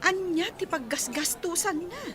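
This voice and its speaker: A frank, concerned young friend in a radio drama. Her high voice rises and falls in a lively way. A busy crowd can be heard behind her. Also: normal speed. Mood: concerned, probing. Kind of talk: Filipino radio drama acting.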